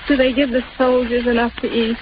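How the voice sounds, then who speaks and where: A woman acting a weak, worried wartime mother in an old radio drama. She speaks in a high voice, tender and tearful, with halting phrases. The 1930s broadcast is muffled and very noisy. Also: level tone, natural voice. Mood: anxious, pleading. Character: frail, starving, anxious mother of a young German soldier.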